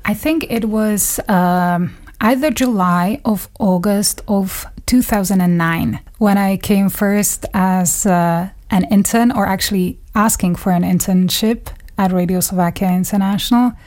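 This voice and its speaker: A woman's voice: mid-range voice, measured pace, few pauses, a little mellow. Woman reminiscing in a radio studio. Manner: thoughtful, laughs between phrases. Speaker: radio journalist. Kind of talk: conversational reminiscence.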